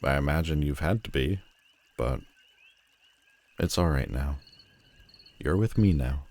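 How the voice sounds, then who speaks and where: A brooding male supervillain acted by a man in an audio roleplay, with an extremely low voice and an unhurried delivery. He is arrogant and commanding, with a wry edge. He talks intimately to one listener, as protective as he is imperious. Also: animated, creaky, a little mellow, heavily acted. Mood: awkwardly comforting. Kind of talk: roleplay audio drama, addressing the listener directly.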